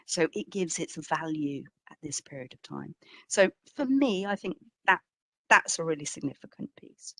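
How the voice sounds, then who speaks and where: A woman's voice: deep voice, animated, moderate pace, vocal fry, a bit bright, no room sound. A woman speaking as an exhibition curator, answering informally and reflectively at a history talk, with conversational emphasis and frequent qualifications.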